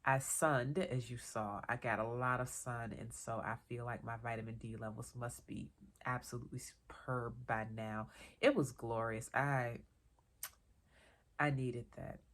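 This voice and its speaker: A woman vlogger in casual solo chat, talking in a relaxed, chatty, wandering way, a little tired and congested from a cold. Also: extremely low pitch, measured pace. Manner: chatty, relaxed, digressive with small laughs. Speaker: vlogger and author.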